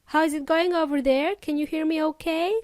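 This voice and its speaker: Upbeat woman podcast host, Eastern European accent with Kiwi traces, bright high voice, dry close recording. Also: fairly even intonation, brisk, no room sound. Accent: Eastern European with New Zealand and Australian traces. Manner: upbeat, addresses listeners directly with Spanish asides. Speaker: English teacher podcast host. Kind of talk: friendly podcast lesson.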